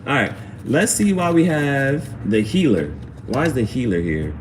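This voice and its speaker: A man reads tarot live in a casual, slangy way. He repeats his phrases as he goes and talks straight to his viewers. His voice is mid-pitched, with steady noise behind it. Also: a little slow. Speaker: tarot reader, livestream host. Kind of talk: casual live tarot reading, talking to chat.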